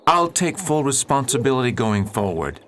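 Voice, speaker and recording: An actor playing an upright, earnest preacher who pleads and reasons with others in a radio drama. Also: male voice, mid-range voice, very animated, moderate pace, a bit bright, slight background noise. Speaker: reverend at a mission.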